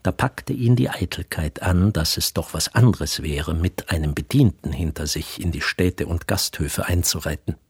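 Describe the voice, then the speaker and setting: A man's voice: sits low, moderate pace, hardly any pauses, breathy edge, dry recording, studio quality. A man narrating a literary radio play as storyteller.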